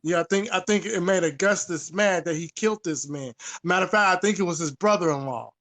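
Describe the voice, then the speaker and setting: A man's voice: high-pitched, brisk, fairly bright tone. A man talking casually and informally about history, repeating phrases for emphasis and swearing now and then.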